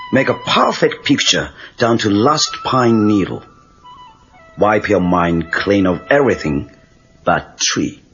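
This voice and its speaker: A wise old Okinawan handyman with a deep voice that swings widely in pitch. He speaks gentle, terse broken English with a Japanese accent, in an audio drama on dull cassette tape. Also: exaggerated voice. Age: older. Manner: clipped broken English, measured.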